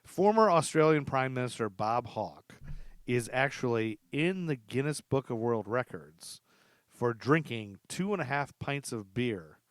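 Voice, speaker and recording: A man's voice: mid-range voice, expressive, measured pace, dry recording. Chatty laughing man, dry sound. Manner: rambling storyteller, laughs often. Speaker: podcast host. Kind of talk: casual history chat.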